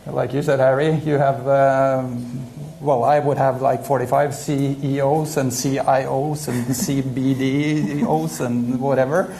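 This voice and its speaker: Man, gravelly, mellow, lots of "you know". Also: medium pitch, level tone, unhurried, a little hiss or hum, rough recording. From Norway. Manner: many fillers, "you know" often. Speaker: head of innovation, panelist. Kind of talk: conference panel answer.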